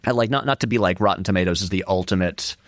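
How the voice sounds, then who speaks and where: A man's voice: sits low, quick pace, dry recording, clean studio sound. A man giving casual, conversational film commentary as an interview guest, often hedging and qualifying his points.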